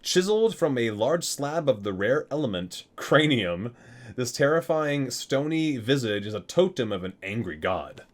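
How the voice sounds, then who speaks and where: A man with a mid-pitched voice reads aloud in an ASMR style. His intonation is very lively, moving across a wide range. He gives titles a theatrical emphasis and adds casual, muttered comments as a host would. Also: moderate pace. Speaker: video host reading a game guidebook.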